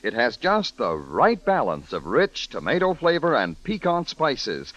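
A man announcing and pitching a sponsor in a 1940s radio commercial style. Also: wildly swooping pitch, unhurried, fairly bright tone. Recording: dry, muffled old radio broadcast.

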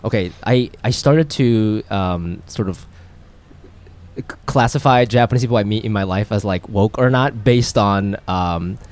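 A man's voice: lowish voice, unhurried, darkish tone. A young man co-hosting a podcast, asking curious questions in a hesitant, casual way. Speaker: podcast co-host. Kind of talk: casual chat.

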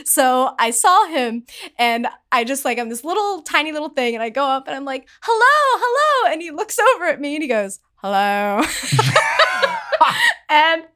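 A young woman who is a podcast guest, telling a story in an excited, gushing way during casual chat. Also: high voice, normal speed.

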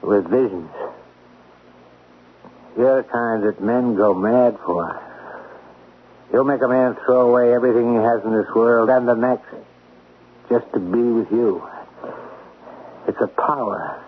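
A man's voice: medium pitch, unhurried, long pauses, a little hiss or hum, close to an everyday voice. An actor playing a drunk, obsessive male talent manager in an old radio drama, pleading and intense. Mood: fervent. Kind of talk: 1940s radio acting. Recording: tinny old radio broadcast.

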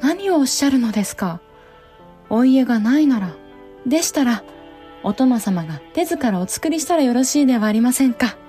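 A woman's voice: sits high, expressive, brisk, mellow, soft background music. A female actor playing a devoted, resolute young samurai wife and mother, speaking politely and formally in a historical audio drama. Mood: encouraging, resolute. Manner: polite, formal period speech.

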